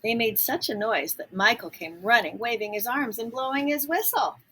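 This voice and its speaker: A woman reading a story aloud to young children, pausing to explain words and ask questions. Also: fairly high pitch, fairly bright tone, a little hiss or hum. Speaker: picture book reader.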